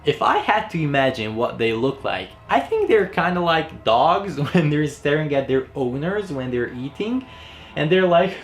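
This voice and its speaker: Joking young Hungarian man, lively pitch. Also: normal speed, soft background music. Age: young adult. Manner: playful, sarcastic asides. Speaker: guest host. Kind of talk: joking commentary.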